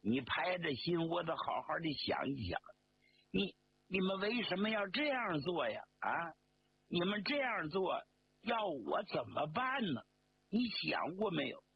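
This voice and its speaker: A man putting on a high, emotional army commander. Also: sing-song, full character voice. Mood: pleading. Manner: theatrical, drawn-out appeals. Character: proud, emotional army commander-in-chief, wounded and pleading. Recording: dull lo-fi sound, faint noise.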